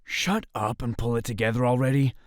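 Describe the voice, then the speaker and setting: A man's voice: medium pitch, steady tone, brisk, dry recording, studio quality, lightly acted. A man as a grumpy young crusader. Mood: irritated. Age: young adult. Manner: blunt, nagging, exasperated. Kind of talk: fantasy audio drama acting.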